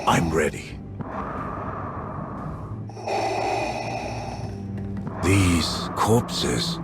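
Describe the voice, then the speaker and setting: Ruthless Sith warrior, deep voice, slow contemptuous delivery, grave formal diction, video game drama, loud music behind. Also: male voice, expressive, vocal fry, exaggerated voice. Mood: cold, wary.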